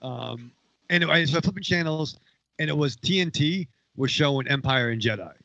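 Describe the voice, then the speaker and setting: A man's voice: fairly high pitch, expressive, moderate pace, vocal fry, pushed and bright, no echo, dull audio. A man in his forties co-hosting a podcast, telling a rambling story in casual chat and swearing a lot. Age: 40s. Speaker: podcast co-host.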